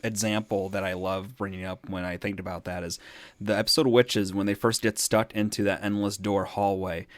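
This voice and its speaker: A man's voice: on the low side, level tone, fairly quick, no echo. A man co-hosting a podcast in casual chat, hesitant as he thinks aloud. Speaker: podcast co-host.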